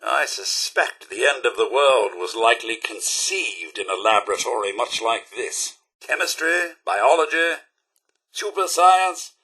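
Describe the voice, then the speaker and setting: An actor playing a polite, fussy, wistful robot butler in a video game, with a British accent and a genteel, theatrical manner. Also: male voice, mid-range voice, expressive, unhurried, bright, forceful tone, slightly rough, exaggerated voice, low-quality recording. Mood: curious, chipper. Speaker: companion character in a video game. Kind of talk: scripted game voice lines.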